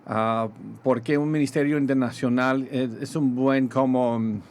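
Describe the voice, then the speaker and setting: Pastor with a half-gringo, half-Mexican accent. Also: male voice, mid-range voice, fairly even intonation, a little mellow, a little hiss or hum. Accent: American with Mexican Spanish touches. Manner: halting, many fillers and restarts. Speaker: pastor, ministry guest. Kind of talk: radio interview in Spanish.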